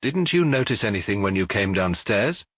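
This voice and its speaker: A man's voice: fairly low pitch, sing-song, moderate pace, a bit bright, dry recording, clean studio sound. A man voicing a self-satisfied, eager police inspector in an audiobook, with a pompous, formal, explanatory manner. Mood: brisk, pleased. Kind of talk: audiobook character voice.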